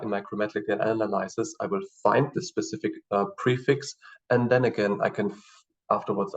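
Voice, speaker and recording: Halting, gravelly German-native man teaching. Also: fairly low pitch. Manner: hesitant, halting explanations with many fillers. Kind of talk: screen-share tutorial. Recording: distant, dull lo-fi mic.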